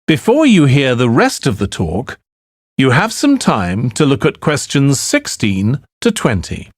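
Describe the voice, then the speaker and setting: A man's voice: mid-range voice, huge pitch swings, measured pace, no room sound. A male announcer gives formal, scripted exam instructions. His intonation swings widely and the sound is dry, with little room around it. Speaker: test announcer.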